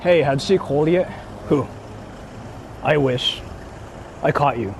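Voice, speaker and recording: A man's voice: fairly high pitch, slow pace. A man livestreaming casually, reading out viewer comments and answering them in a rambling, self-questioning, sweary way. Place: outdoors on an urban street, walking. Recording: clearly noisy outdoor city street stream.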